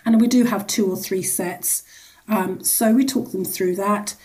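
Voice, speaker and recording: A woman with a soft-edged, mellow tone speaks as an interview guest. Her intonation stays fairly level as she explains things conversationally, qualifying and repeating phrases as she goes. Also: medium pitch, steady tone, a little slow, poor audio. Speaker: charity executive, interview guest.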